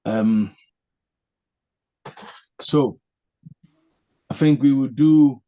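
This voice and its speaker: A man hosting a reflective spiritual discussion over a video call, earnest and posing long searching questions with many pauses. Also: medium pitch, dark tone, highs cut off. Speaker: host leading a discussion.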